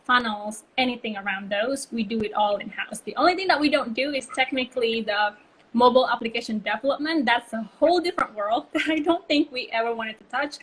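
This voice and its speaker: Husky woman, chatty storyteller. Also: a little high, brisk, a bit bright, low-quality recording. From Bali, Indonesia. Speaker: guest, brand consultancy co-founder. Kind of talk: casual interview chat.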